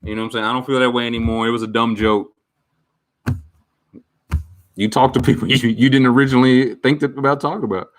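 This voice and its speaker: Man about thirty, casual streamer chat, candid and loose, middle pitch, slangy. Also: fairly even intonation, fast, long pauses. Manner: loose, candid, reflective, heavy slang and fillers. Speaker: streamer answering viewer questions.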